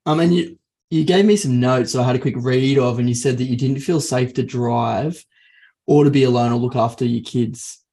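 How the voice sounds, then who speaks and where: An interviewer speaks in a dark, mellow tone. Questions come short and plain, mostly nudging the guest along. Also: mid-range voice, normal speed. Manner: brief prompting questions.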